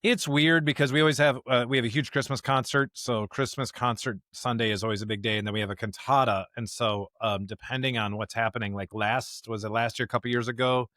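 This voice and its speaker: A man's voice: mid-range voice, steady tone, a little fast, few pauses, sharp tone, no echo, clean studio sound. An American man co-hosting a podcast as a pastor, talking casually and informally in a chatty way.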